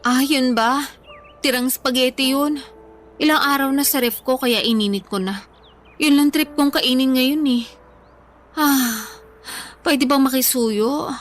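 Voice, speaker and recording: High-voiced, stubborn Filipina food lover. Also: female voice, measured pace, long pauses. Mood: nonchalant, stubborn. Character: stubborn, food-loving overweight young woman, cheeky and unbothered. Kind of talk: Filipino radio drama acting.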